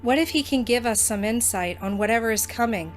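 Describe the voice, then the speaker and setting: A woman's voice: a little high, brisk, soft background music, lightly acted. An actress playing a practical young female student with magic powers who talks earnestly and keeps proposing plans.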